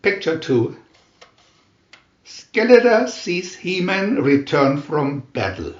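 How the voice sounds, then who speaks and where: A man reading a storybook aloud as dramatic narration, in a theatrical, deliberate manner with emphatic announcements. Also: on the high side, very animated, echoey room, highs cut off. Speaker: reader of picture-reel story booklets.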